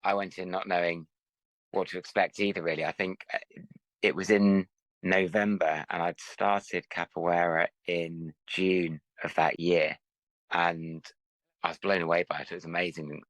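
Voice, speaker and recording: A man hosting a podcast interview, welcoming his guest and asking polite, measured questions. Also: low voice, flat delivery, fairly bright tone, no echo, low-quality recording. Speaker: podcast host. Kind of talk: interview host.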